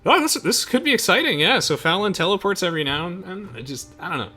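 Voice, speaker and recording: A man giving casual, chatty gameplay commentary while playing with friends, in an excitable manner. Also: high-pitched, fast talker, slightly rough. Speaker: gamer, let's play host. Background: game music.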